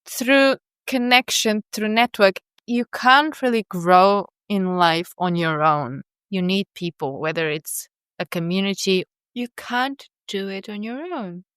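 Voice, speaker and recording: A woman's voice: mid-range voice, unhurried, few pauses, fairly bright tone, clean tone, dry recording, clean studio sound. A woman hosting a podcast interview, talking reflectively and often checking in with tag questions. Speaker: podcast host. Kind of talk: conversational interview.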